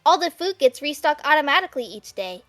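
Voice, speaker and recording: A woman's voice: sits high, big pitch swings, fast, a bit bright, dry recording. A woman in an amateur anime fan dub playing an earnest, chatty teenage girl. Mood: earnest, puzzled.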